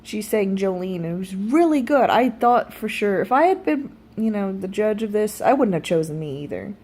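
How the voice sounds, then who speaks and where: A woman of thirty-two telling stories casually to viewers, animated and wry, with self-deprecating asides and free swearing. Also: medium pitch, moderate pace. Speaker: vlogger. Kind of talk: casual storytelling chat to viewers.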